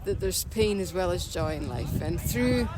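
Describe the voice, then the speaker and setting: A woman's voice: lowish voice, expressive, moderate pace. A woman with a Scottish accent speaking candidly and reflectively in an outdoor interview, often restarting her sentences. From Scotland and Denmark. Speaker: interview guest. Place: outdoors by a city river. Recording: outdoor recording with loud street noise. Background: traffic and city ambience.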